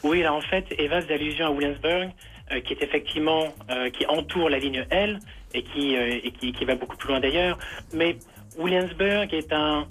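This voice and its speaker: A man's voice: fairly high pitch, moderate pace, a bit bright, soft background music. A man answering interview questions on the radio, hesitant with many fillers and restarts. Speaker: guest, property renovator in Brooklyn.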